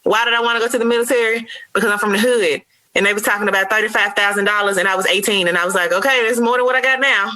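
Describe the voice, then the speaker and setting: A woman with an American accent and a bright, crisp-edged voice at a middle pitch. She speaks as a podcast guest in a casual, candid way, openly giving her opinions on history. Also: fairly even intonation, very fast.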